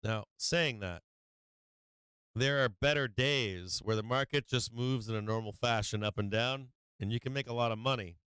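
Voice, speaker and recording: A man's voice: mid-range voice, normal speed, fairly bright tone, smooth, no echo. A man hosting a live stock market stream, talking loosely with lots of fillers and swearing, his voice getting worn this week. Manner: loose and profane, many fillers, laughs often. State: losing his voice. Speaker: stock market livestream host. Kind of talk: casual trading commentary.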